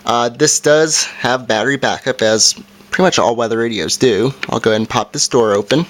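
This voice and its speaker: A man with a mid-pitched voice presents a homemade product demonstration. He talks informally and plainly, going through each part and setting one step at a time. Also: fairly quick, fairly bright tone. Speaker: amateur video reviewer. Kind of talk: casual product review and demonstration.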